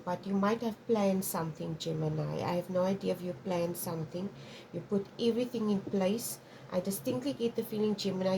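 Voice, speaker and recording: Low, gravelly woman giving a tarot reading. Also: noisy background. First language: Afrikaans. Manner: rambling, many restarts and frequent "okay?". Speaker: tarot reader. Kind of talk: intuitive tarot reading to camera.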